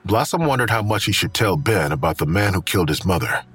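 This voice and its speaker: An actor playing a grave storyteller, narrating an audio drama in the third person with a raspy voice. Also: male voice, deep voice, lively intonation, fast, a bit bright, faint music behind, heavily acted.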